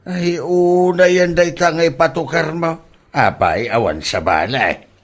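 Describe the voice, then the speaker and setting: A man's voice: fairly high pitch, a little slow. A man playing an exasperated middle-aged father who scolds his grown daughter in a comedy audio drama.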